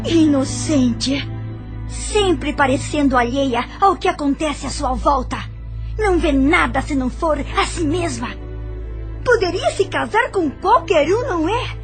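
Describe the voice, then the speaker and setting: A woman's voice: sits high, lively intonation, a little slow, soft background music. An actress playing a bitter, jealous young woman in an audio novel, accusing and scornful in a melodramatic way.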